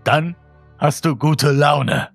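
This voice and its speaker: Casting: male narrator as creepy, ever-smiling homeless man, raised pitch, sugary drawn-out lines, horror audiobook, music underneath. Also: creaky, dry recording, exaggerated voice. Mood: coaxing, cheerful. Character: eerily cheerful ragged homeless man with rainbow umbrella.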